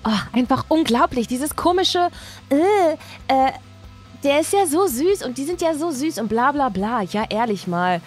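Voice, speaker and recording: A huffy teenage mean girl, acted by a woman in a toy-figure story, scornful and jealous. Her slangy, offended talk is fairly high and sits over music. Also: expressive, a little slow. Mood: mocking.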